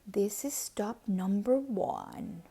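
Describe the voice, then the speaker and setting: A woman voicing a sly, sneaky male creature scheming in a storybook read-aloud, with a drawn-out, falsely sweet manner. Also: medium pitch, lively intonation, dark tone, slightly rough, pitched below the performer's own voice, full character voice. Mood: sly gloating.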